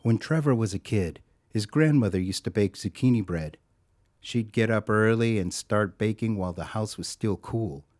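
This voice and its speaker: An actor as the narrator of an audio drama, telling a scripted story in a wry, sardonic way. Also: male voice, on the low side, moderate pace, a little mellow, close to an everyday voice. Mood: wry, measured.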